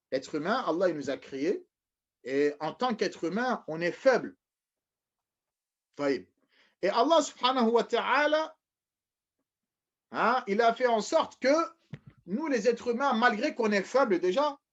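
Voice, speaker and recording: High-voiced man preaching on lo-fi audio. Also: lively intonation, unhurried, long pauses. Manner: repeats phrases, stumbles and restarts, addresses brothers and sisters. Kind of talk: religious lecture. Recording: dull lo-fi recording.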